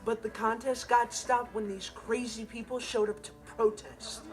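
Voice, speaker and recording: A man's voice: very high-pitched, steady tone, normal speed. A young male actor playing an earnest, responsible, easily exasperated teenage stepbrother, telling stories with emphatic comic timing in a TV sitcom. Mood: indignant storytelling. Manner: emphatic, theatrical comic delivery. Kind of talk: scripted comic monologue in a TV sitcom. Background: music and laughter.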